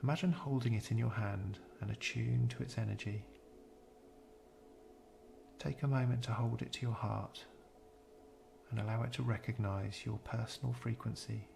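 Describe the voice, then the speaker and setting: A man guides a meditation in a gentle, measured delivery. His mid-pitched voice has a noticeable fry to it, and he leaves soothing pauses between scripted phrases. Noise is clearly audible behind him. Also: fairly even intonation.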